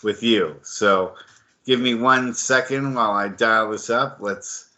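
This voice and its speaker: A man's voice: on the low side, takes it slowly, sharp tone. A man co-hosting an interview podcast, enthusiastic and prone to stumbling restarts. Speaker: podcast co-host.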